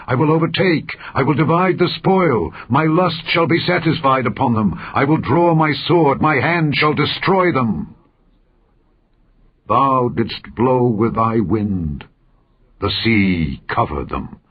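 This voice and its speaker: Male Bible narrator, husky and solemn, measured declamatory phrasing, slightly dull recording. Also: medium pitch. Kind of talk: solemn scripture reading.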